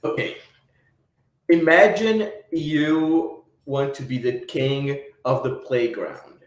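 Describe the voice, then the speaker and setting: A man's voice: mid-range voice, lively intonation, takes it slowly, echoey room, poor audio. A man from Brazil, a podcast guest, explaining things casually and often repeating words as he goes.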